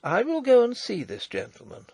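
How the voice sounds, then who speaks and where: A man's voice: very high voice, huge pitch swings, normal speed, a little mellow, husky, no echo, voice pushed up, heavily acted. A man voicing a proud young princess, speaking in a raised, earnest and emotional voice. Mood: bewildered.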